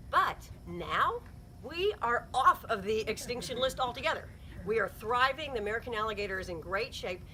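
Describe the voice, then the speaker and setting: Woman nature guide, middle-aged, upbeat and encouraging, lively pitch, outdoor noise behind. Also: low-quality recording. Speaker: heritage interpreter giving a nature talk. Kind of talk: friendly guided presentation to a small group. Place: outdoors at a ranch.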